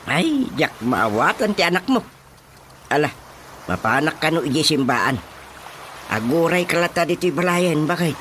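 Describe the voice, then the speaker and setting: A man playing an excitable older father in a radio drama comedy, exclaiming and muttering, easily astonished and indignant. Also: on the high side, moderate pace, long pauses, background noise. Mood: grumbling. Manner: exclamatory, full of muttered interjections.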